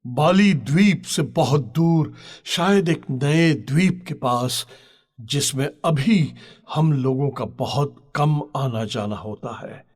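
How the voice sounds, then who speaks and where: A man's voice: medium pitch, slow, a little mellow. A man playing a submissive ship's captain in a dramatised audio story, with a theatrical delivery. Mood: informative. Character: male ship's captain, defeated and submissive seafarer.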